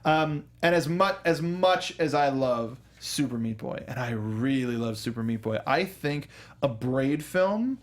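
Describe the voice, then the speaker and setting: A man with a mid-pitched voice hosts a relaxed entertainment discussion. He talks conversationally, wandering into asides and acting out imagined lines as he explains his point. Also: a little slow. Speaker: talk show host. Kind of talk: casual film and video game discussion.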